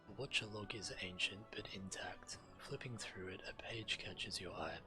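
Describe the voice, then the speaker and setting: A man's voice: low-pitched, normal speed, poor audio. A man streaming a video game, reading quest text aloud and commenting on play. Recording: loud game music behind voice. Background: game music.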